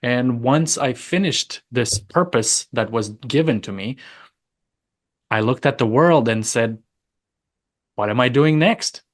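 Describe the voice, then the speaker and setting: A man from Romania with a slight Romanian accent, answering interview questions in a measured, thoughtful, earnest way. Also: mid-range voice, animated. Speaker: podcast guest.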